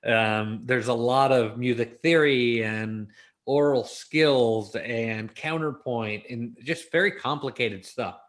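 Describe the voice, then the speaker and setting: A man's voice: medium pitch, slow pace, fairly bright tone. A man from Los Angeles with an American accent, talking casually and informally as an interview guest, in a storytelling way. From Los Angeles, United States.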